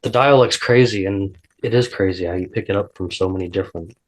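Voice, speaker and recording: Male co-host with a lowish voice, rambling and casual, restarts his sentences often. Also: brisk, rough recording. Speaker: podcast co-host. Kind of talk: casual chat.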